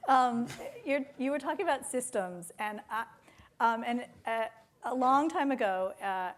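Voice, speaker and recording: A woman's voice: fairly high pitch, expressive, unhurried. A woman interviewing someone on stage in a conversational way, with hesitant, restarting phrasing. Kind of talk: live onstage literary interview. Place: theater stage, public talk.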